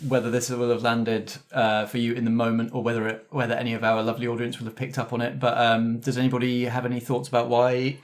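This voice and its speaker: A man with a middle-pitched voice hosts a relaxed conversation about tabletop gaming. He speaks in a thoughtful, measured way, often qualifying what he says as he goes. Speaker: game master and podcast host. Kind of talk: casual group discussion of a tabletop role-playing game.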